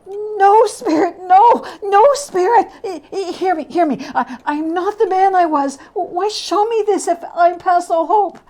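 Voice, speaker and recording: A woman's voice: very high voice, unhurried, hardly any pauses, warm tone, pitched above the performer's own voice. A woman playing a repentant old miser man in a dramatic storybook reading, stammering and exclaiming with overwrought emotion. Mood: pleading, desperate. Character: repentant old miser man, pleading then giddy with joy.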